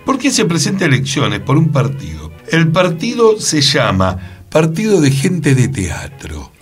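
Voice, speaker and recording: A man's voice: fairly high pitch, wildly swooping pitch, unhurried, soft background music. A man with an Argentine Rioplatense accent co-hosting a radio talk, telling theatre history in a chatty, conversational way with rhetorical questions. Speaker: radio co-host, actor.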